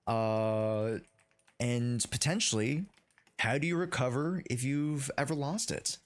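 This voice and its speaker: A host of a gaming stream interviewing a guest, relaxed and quick to laugh along. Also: mid-range voice. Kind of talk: casual interview chat.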